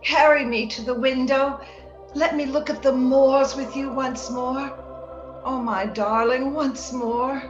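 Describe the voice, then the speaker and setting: Woman acting as lovesick young heroine, dying and tender, highish voice, roomy sound, radio play. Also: fairly even intonation, slow, faint music behind. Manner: wistful, romantic, theatrical delivery. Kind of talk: old-time radio drama acting. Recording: roomy, dull remote recording.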